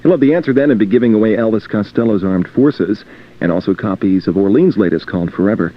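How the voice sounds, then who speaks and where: A man's voice: on the low side, expressive, fast talker. A man working as a radio DJ, announcing music and reading station promos. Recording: dull lo-fi radio aircheck tape.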